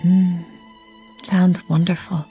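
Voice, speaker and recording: Gentle childlike android woman. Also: lowish voice, little pitch movement, slow pace, soft background music. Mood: soft, curious. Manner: simple, compliant, questioning. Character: gentle, childlike, devoted female android companion. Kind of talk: dramatic radio acting.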